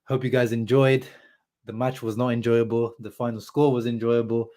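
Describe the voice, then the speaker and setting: A man's voice: medium pitch, normal speed. A young man with a sore throat hosting a live football reaction stream, chatting casually and answering viewers' comments. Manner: animated, chatty, says "bro" and "like" a lot. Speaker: football fan channel host. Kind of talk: live-stream match reaction, reading chat.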